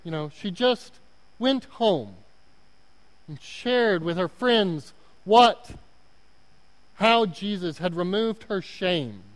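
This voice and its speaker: A man preaching a sermon, retelling a story in a slow, deliberate way with pauses. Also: high voice, animated. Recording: long reverb of a large hall.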